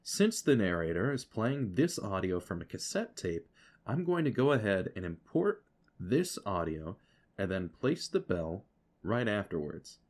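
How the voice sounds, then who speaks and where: A man's voice: lowish voice, very animated, unhurried, darkish tone. A man giving an explanatory tutorial voice-over as an audio producer, instructive and speaking directly to the listener.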